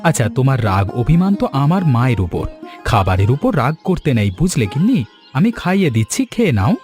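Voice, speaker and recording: Man voicing a lively, coaxing young husband. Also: medium pitch, fast talker, close to an everyday voice. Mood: coaxing, reassuring. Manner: coaxing, soothing. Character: tender, reassuring young newlywed husband. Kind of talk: Bengali cartoon dialogue acting. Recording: background music under voice.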